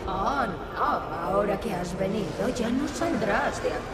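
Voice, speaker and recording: An actress playing a seductive, taunting sorceress villainess in a video game, silky and theatrical. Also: female voice, medium pitch, animated, measured pace, very noisy. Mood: menacing. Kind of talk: video game dubbing in Spanish. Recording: echoing voice under loud game music.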